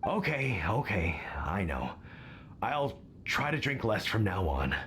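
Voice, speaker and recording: A man's voice: lowish voice, sing-song, takes it slowly, a bit bright, slight background noise, full character voice. A man playing a sheepish, placating middle-aged hunter father who likes his drink in a video game.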